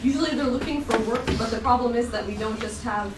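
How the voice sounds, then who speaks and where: A woman's voice: on the high side, very fast. A woman acting as an interpreter, relaying what is said to a group in short spoken passages. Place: echoey room at a group event. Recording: echoey, distant mic, loud noise, clicks.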